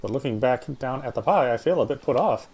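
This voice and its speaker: A man's voice: mid-range voice, animated, fast talker, darkish tone. A man reading first-person visual novel narration aloud.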